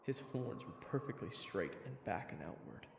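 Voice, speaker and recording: A man's voice: on the low side, lively intonation, normal speed, vocal fry, noisy background. A man playing a brash, sarcastic human hero who narrates his fantasy adventure in an informal, wry way. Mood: observant, wry. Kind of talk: first-person story narration. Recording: echoey reverberant sound, music behind.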